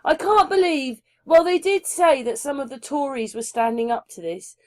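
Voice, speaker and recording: Woman, hosting casual chat, chatty, rhetorical questions, higher voice. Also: expressive, normal speed, a little mellow. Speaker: chat host.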